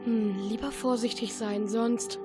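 A nervous, timid child, voiced by a female performer in a children's audio drama. The voice is very high, and music plays clearly underneath. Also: fairly even intonation, measured pace, close to an everyday voice. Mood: nervous, suspicious. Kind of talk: children's radio play acting.

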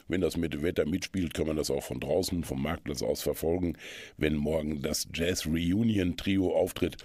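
A man presents cultural listings on the radio. His delivery is measured and explanatory, in long, considered phrases. The sound is dry and close, as from a studio microphone. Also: low-pitched, a little mellow, no room sound. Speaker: radio host presenting local culture events. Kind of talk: radio magazine moderation, event listings.

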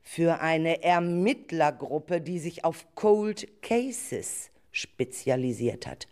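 A woman playing a sceptical but curious grown-up companion to children in an amateur radio play, with expressive, theatrical reactions. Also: sits low, takes it slowly. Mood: uneasy, serious. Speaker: adult cast member in a children's radio play. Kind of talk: amateur audio drama acting.

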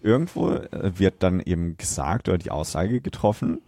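A German man with a low, soft-edged, mellow voice chats as a podcast co-host. He sets out his objections step by step in a measured way. The recording is close and dry. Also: very animated, vocal fry, no echo. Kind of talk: casual film discussion chat.

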